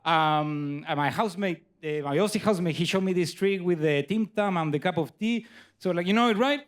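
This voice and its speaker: High-voiced Chilean man doing stand-up. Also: a little fast. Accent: Chilean Spanish. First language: Spanish. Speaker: stand-up comedian. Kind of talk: stand-up comedy on stage. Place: comedy club stage.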